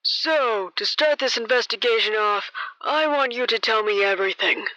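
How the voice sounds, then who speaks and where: A woman's voice: fairly high pitch, moderate pace, sharp tone, dry recording, poor audio. A woman voicing a shady, paranoid washed-up male detective in an amateur radio play, talking like a conspiratorial old-time gumshoe. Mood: smooth, insistent.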